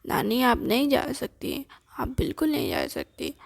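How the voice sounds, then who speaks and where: A woman voicing a small boy who whines and pleads loudly. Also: on the high side, huge pitch swings, a little slow, gravelly, heavily acted. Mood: pleading. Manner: pleading, raised and whiny.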